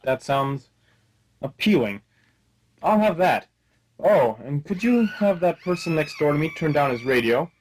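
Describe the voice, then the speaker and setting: A man's voice: a little high, sing-song, a little slow, long pauses. A man acting a hapless, wisecracking private detective who complains with mock refinement in a comic radio play. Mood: cheeky, demanding.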